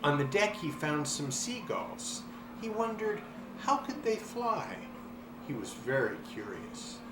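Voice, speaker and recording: A man reading a children's storybook aloud with expressive, dramatic delivery and drawn-out words. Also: fairly high pitch. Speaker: story reader. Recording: roomy echo, clear background noise.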